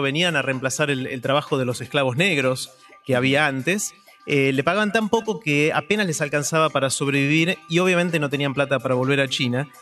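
A man with an Argentine Spanish accent, a radio columnist, telling a story in a lively, casual way and often filling pauses with "eh". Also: fairly high pitch. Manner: storytelling, animated, with filler "eh".

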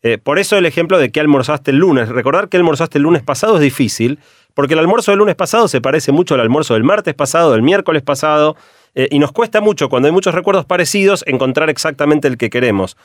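A middle-aged Argentine man talks quickly through examples as a radio columnist, his voice sitting a little high. The studio sound is dry and close. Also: no room sound. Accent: Argentine Spanish. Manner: explains in quick, steady runs of examples. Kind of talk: explanatory radio talk.

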